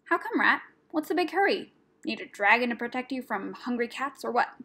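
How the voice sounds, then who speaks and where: A small, cheeky brownie girl performed by a woman with a high, husky voice. She is blunt and sarcastic, a sharp-tongued storybook creature with a short temper. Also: animated, fairly quick, exaggerated voice. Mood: cheeky, teasing. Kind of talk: audiobook character voice.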